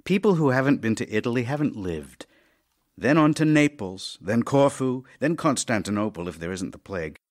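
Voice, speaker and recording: Wry, very animated middle-aged writer played by a man. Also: medium pitch, normal speed, natural voice. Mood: eager, affectionate. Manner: playful, wry, theatrical. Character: Anton Chekhov, wry, teasing, affectionate ageing writer. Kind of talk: audio drama acting, letters read as speech.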